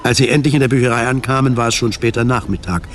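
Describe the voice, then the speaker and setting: A man reading scripted narration for a radio drama, measured and articulate. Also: medium pitch, steady tone, a little hiss or hum.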